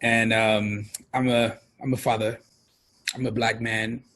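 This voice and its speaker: A man's voice: fairly low pitch, little pitch movement, unhurried, fairly bright tone. A middle-aged man, a guest talking about his life in a casual interview, hesitant with many fillers. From France.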